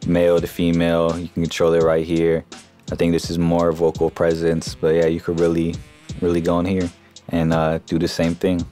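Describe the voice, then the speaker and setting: A man giving a casual, informal software tutorial as a voice-over on a close mic. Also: deep voice, completely flat delivery, moderate pace, faint music behind. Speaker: music production tutorial host.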